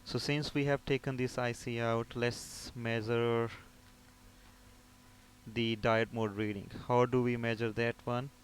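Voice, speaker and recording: A man's voice: medium pitch, flat delivery, measured pace. A man giving an informal step-by-step technical tutorial, often asking a question and then answering it himself. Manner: explanatory, poses and answers own questions. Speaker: repair technician instructor. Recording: clear background noise.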